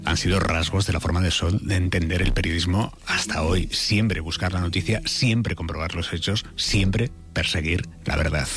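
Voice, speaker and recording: A man's voice: sits low, moderate pace, hardly any pauses. A man with a Spanish accent from Spain, narrating an audio profile as a news presenter.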